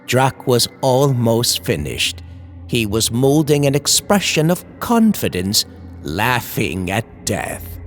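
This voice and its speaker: A man reading scripted horror in an old-time radio style, with dramatic, deliberate, suspenseful delivery. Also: medium pitch, very animated, faint music behind. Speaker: horror story narrator, channel host.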